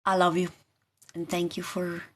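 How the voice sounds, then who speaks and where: A woman hosting a live tarot session, talking informally and haltingly to viewers, often asking questions and repeating her phrases. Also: lowish voice, monotone, background noise.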